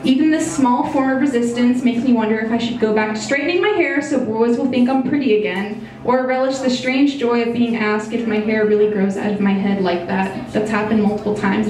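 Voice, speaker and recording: A young woman reading her personal essay aloud into a stand mic at a small indoor venue, in a measured, reflective way. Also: a little high, darkish tone, distant mic. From United States. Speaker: essay reader. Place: small brick-walled bar venue, indoors. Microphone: stage mic on a stand. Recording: very echoey room, voice a bit off-mic.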